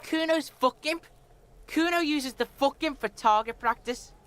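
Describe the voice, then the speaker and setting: Cocky, high-voiced delinquent boy. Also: unhurried, fairly bright tone. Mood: defiant. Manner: swaggering, sneering, speaks of self in third person. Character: cocky foul-mouthed delinquent street boy, defiant, hostile. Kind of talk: video game character acting.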